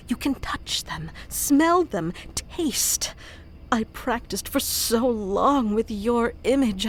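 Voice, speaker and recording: A woman's voice: a little high, a little hiss or hum. A woman voicing an obsessive, clingy and powerful female kitsune familiar in an intimate, theatrical ASMR roleplay. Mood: hurt, indignant, then boastful, coaxing. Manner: intimate, theatrical, swinging between hushed and pleading.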